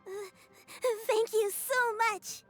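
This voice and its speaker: Polite, high-voiced young child. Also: female voice, fairly even intonation, slow pace, music in the background. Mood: polite, hesitant. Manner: polite, hesitant. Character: polite, earnest young child, sweet and grateful.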